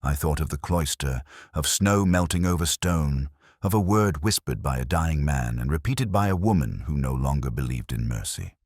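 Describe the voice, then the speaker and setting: A man narrating as a melancholy, world-weary police inspector, in a measured, literary and solemn way. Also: very deep voice, expressive, darkish tone, no echo, studio quality. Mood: somber, reflective. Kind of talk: scripted first-person detective narration.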